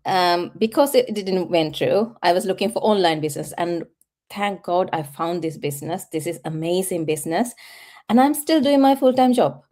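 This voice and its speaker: A woman in her thirties with a Sri Lankan accent, giving a personal testimonial as an interview guest in a halting, self-correcting way. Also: on the low side, dark tone. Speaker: guest, online business owner.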